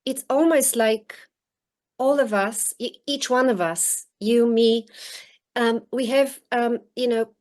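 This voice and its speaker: Guest author, woman, forties, from Bulgaria; slow and hesitant delivery over a video link. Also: fairly high pitch, steady tone, darkish tone. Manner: halting, many restarts and ums. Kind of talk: reflective interview answers. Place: home in rural northern countryside. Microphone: video call.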